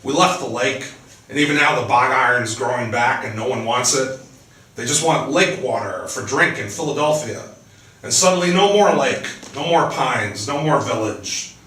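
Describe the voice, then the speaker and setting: A man's voice: medium pitch, normal speed, sharp tone, voice pushed up, close to an everyday voice. A man voicing a weary, resentful middle-aged iron worker, speaking in a raised, pressed voice in a roomy space from a distance. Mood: bitter. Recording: roomy, distant mic, faint ambience.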